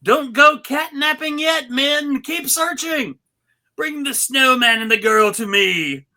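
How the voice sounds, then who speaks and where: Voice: high-pitched, slow, sharp tone, voice pushed up, full character voice. A performer voicing an imperious, gloating villain cat who commands henchmen in a cartoon script reading. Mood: commanding.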